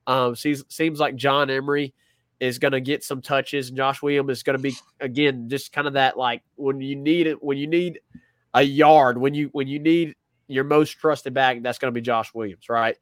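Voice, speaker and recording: A man's voice: mid-range voice, steady tone, normal speed, no echo, studio quality. A man with a stuffy nose from a cold, co-hosting a casual sports talk show and thinking aloud with lots of restarts. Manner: rambling, self-correcting, thinking aloud. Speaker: podcast co-host.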